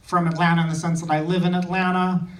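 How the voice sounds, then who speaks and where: A man's voice: high-pitched, level tone, fast talker. A man from India performing a stand-up comedy routine, delivering short phrases with a dry, self-deprecating manner. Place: small comedy theater, on stage before an audience. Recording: echoey club, distant mic, loud noise.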